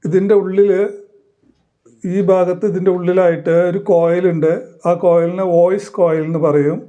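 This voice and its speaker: Guy doing a how-to demo, pretty flat delivery, mellow, stops and starts in short bits. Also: high voice, muffled recording. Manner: halting, explains in short phrases. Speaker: electronics tutorial presenter. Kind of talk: hands-on demonstration, explanatory teaching.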